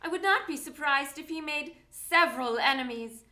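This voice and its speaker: A woman's voice: sits high, normal speed, pushed and bright. A woman playing a gracious, wealthy socialite in a live radio drama, formal, polished and composed. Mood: composed, sympathetic.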